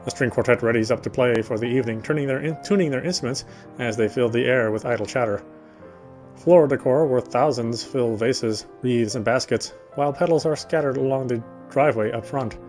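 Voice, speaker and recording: A man reads a visual novel script aloud in a mid-pitched voice. He delivers it as story narration, with soft music playing underneath. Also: brisk. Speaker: let's player reading a visual novel aloud.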